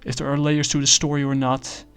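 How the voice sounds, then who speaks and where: A man casually reviewing a comic book, talking in a halting way with many fillers and restarts. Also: medium pitch, level tone, clear, resonant tone.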